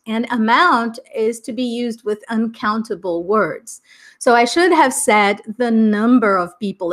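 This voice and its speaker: A woman's voice: on the high side, fairly bright tone. A middle-aged Brazilian woman with an Americanized Brazilian accent, an upbeat, chatty English exam teacher giving a live online class and laughing often. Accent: Brazilian Portuguese, Americanized English. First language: Portuguese.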